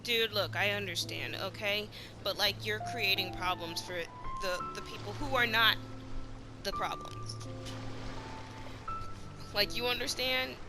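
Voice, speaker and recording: Casual woman gamer over game music. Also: mid-range voice. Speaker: gaming streamer.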